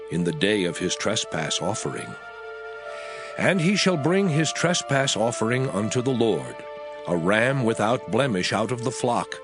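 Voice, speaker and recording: Solemn man reading scripture with lively intonation. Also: mid-range voice. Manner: solemn, measured, declamatory. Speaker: scripture reader.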